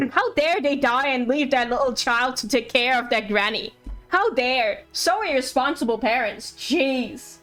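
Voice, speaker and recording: A woman's voice: high-pitched, unhurried, a bit bright. A woman streaming a video game, chatting casually with playful asides and reading game text aloud over music.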